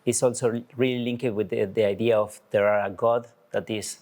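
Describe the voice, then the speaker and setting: Man from Argentina, talk speaker, slightly low voice, halting and searching for words. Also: level tone, darkish tone. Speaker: artist with a biology background giving a talk. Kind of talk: presenting a talk to an audience.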